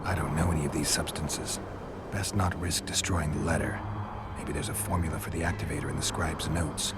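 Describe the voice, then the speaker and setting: An actor playing a gruff, world-weary monster hunter in a video game, speaking in a deadpan, measured way. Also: male voice, rumbling low, over loud music. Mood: quiet, musing.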